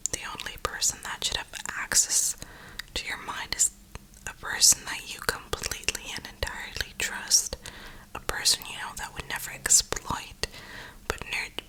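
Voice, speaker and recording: A woman host giving a slangy, reflective ASMR ramble in a breathy whisper. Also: poor audio. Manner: slangy, reflective, unhurried pauses. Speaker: ASMR gaming video host.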